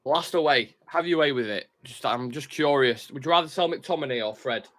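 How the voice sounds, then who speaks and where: A man's voice: mid-range voice, a little fast, a bit bright. A man hosting a football fan live stream, chatting casually and reading out viewer comments, blunt and opinionated. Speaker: host of a football fan show.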